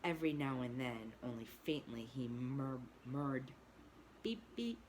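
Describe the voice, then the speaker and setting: A woman's voice: a bass voice, fairly even intonation, measured pace, darkish tone, a little hiss or hum. A woman who teaches preschool, reading a storybook aloud expressively and adding asides to the children. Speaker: pre-K teacher.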